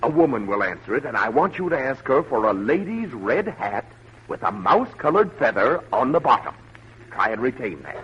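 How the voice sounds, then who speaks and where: An actor playing a fussy, earnest, officious doctor who is easily provoked, in an old radio comedy. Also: male voice, mid-range voice, very animated, normal speed, breathy edge. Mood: patient, officious. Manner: officious, indignant. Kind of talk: 1940s radio comedy acting. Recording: muffled, hissy old radio recording.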